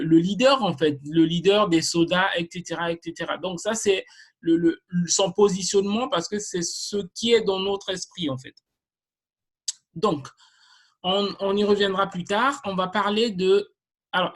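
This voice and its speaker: A marketing trainer teaching a live online class at an unhurried, slow pace, in a voice of ordinary middle pitch. The delivery is halting, with sentences restarted and filled with pauses as each concept is laid out step by step. The speaker addresses the viewers directly, like a teacher in front of a class. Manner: hesitant, with frequent restarts and filler sounds.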